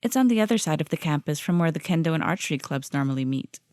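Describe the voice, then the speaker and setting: A woman voicing a composed, helpful teenage girl student who speaks in a calm, courteous way, in a fan audio drama. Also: low-pitched, fast talker, no echo, clean studio sound.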